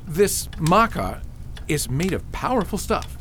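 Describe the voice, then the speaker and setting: A man's voice: a little high, very animated, measured pace, fairly bright tone, a little hiss or hum. A man playing a plain-spoken old father in a fantasy radio drama, advising in a matter-of-fact, emphatic way. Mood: gruff concern.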